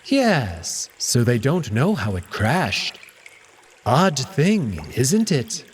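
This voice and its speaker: A man's voice: mid-range voice, very animated, drawn-out words, a little hiss or hum. A man voicing a haughty, wounded male cat courtier in a visual novel, speaking primly and formally with a guarded, peevish air. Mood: guarded, aloof. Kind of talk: visual novel character acting.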